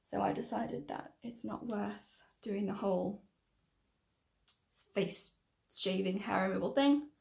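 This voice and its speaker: A woman in her thirties with a croaky, tiring voice, chatting and correcting herself as she presents a casual tutorial to camera. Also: mid-range voice, slow, pauses often, darkish tone, echoey room. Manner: chatty, rambling, self-correcting. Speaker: makeup tutorial vlogger. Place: small room indoors.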